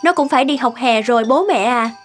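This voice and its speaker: A woman's voice: fairly high pitch, animated, a little fast, faint music behind, close to an everyday voice. A woman playing an anxious, insistent middle-aged daughter and mother in a cartoon, fretful and questioning. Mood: relieved. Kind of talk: cartoon dialogue acting.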